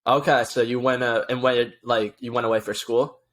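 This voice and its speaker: A man's voice: mid-range voice, level tone, moderate pace, fairly bright tone. A man hosting a podcast, asking informal, rambling questions in a casual conversational style. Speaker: podcast host. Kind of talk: casual interview chat.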